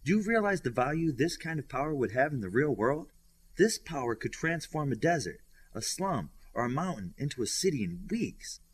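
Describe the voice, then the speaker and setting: Man acting intense businesslike boy, superhero audiobook, terse, husky, varied intonation. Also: mid-range voice, sing-song, normal speed, voice pushed up. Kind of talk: audiobook character dialogue.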